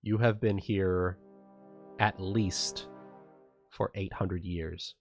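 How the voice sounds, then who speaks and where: Low-voiced, unhurried male game master. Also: steady tone, darkish tone. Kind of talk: narrating a tabletop fantasy game. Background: music.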